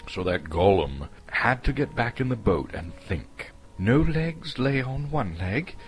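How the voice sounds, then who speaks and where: A male storyteller from Canada gives a dramatic audiobook-style reading. His voice is low and his delivery is theatrical, with lively swings in pitch. There is faint noise behind him. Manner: theatrical, expressive. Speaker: storyteller, narrator.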